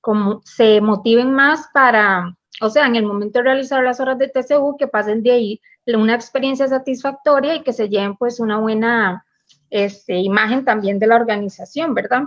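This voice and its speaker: A woman's voice: fairly high pitch, moderate pace, muffled recording. A woman asking a question on a video call, hesitant and full of fillers. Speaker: audience member.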